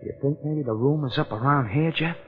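An actor playing a jumpy, wisecracking comic sidekick in a 1940s radio mystery, excitable, slangy and complaining. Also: male voice, fairly high pitch, a little fast, faint music behind, lightly acted. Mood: uneasy. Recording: muffled old radio broadcast recording.

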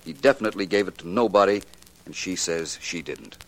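A gentleman sleuth in a vintage radio mystery. His voice is deep, and he speaks in an urbane, measured, precise way, never flustered. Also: animated, gravelly, full character voice, rough recording. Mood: deliberate, puzzled. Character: suave, unflappable gentleman detective. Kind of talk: 1940s radio detective drama acting.

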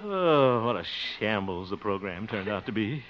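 An American man playing an excitable, hapless radio station man with theatrical, flustered exclamations in a 1950s radio comedy. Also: fairly low pitch, moderate pace, over loud music, natural voice. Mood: dejected. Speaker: star of a radio comedy show. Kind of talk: 1950s radio sitcom acting. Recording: noisy, dull old radio broadcast.

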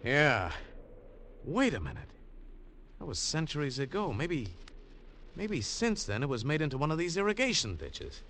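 A man's voice: a little high, wildly swooping pitch, normal speed, long pauses, fairly bright tone, slight background noise, exaggerated voice. An actor playing a tough, wary adventurer, narrating his treasure hunt in dramatic 1950s radio style. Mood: tense, awed. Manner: hard-boiled, intense, dramatic delivery. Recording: old radio recording with music.

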